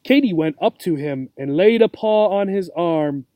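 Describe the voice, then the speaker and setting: A man reading a children's storybook aloud as a teacher would, with simple, deliberate phrasing and asides to young listeners. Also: high voice, lively intonation, mellow, no room sound, clean studio sound.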